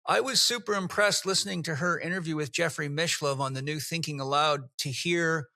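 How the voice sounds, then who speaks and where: Enthusiastic bright-voiced male podcast host. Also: a little high, a little fast, no echo, clean studio sound. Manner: enthusiastic, effusive. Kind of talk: podcast interview.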